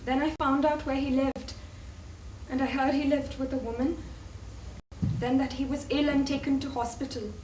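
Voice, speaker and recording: An actress in a stage play playing a proud, wounded former sweetheart, speaking in a defensive, guarded and emphatic way. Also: female voice, high-pitched, flat delivery, normal speed, a little mellow, natural voice. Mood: defensive, confessing. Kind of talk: theatrical stage play acting. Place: theatre stage, recorded from far off. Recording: distant echoey stage recording, faint noise.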